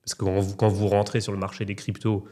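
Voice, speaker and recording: A man's voice: fairly low pitch, completely flat delivery, fast talker, dark tone. A man who represents a company in France, explaining its markets with confidence in a business interview.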